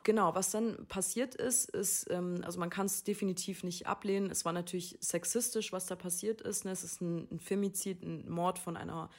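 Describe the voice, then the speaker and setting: A woman hosting a talk, calmly explaining background information to listeners. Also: fairly low pitch, moderate pace, hardly any pauses. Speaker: podcast host.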